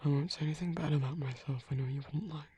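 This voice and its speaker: A performer voicing a tender reformed villain as a protective lover in an intimate, gentle, breathy ASMR roleplay, speaking slowly in a hushed whisper. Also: mid-range voice, steady tone, no room sound, lo-fi sound. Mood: reassuring, softly coaxing. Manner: slow, gentle, hushed, reassuring.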